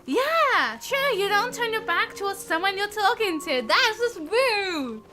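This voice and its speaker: A woman with a British accent giving casual, chatty, animated commentary as she live streams a game. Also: extremely high pitch, measured pace. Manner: giggly, animated, exclamatory.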